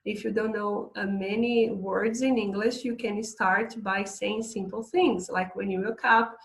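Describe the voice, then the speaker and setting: A woman's voice: medium pitch, fairly even intonation, a little slow. Woman teaching English. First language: Portuguese. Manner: chatty, reading slides aloud with asides. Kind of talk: online English lesson.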